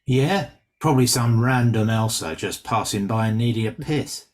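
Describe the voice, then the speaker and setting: A man playing a blunt, sardonic, needling character in a deadpan way for a script read-through. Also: medium pitch, normal speed, close to an everyday voice.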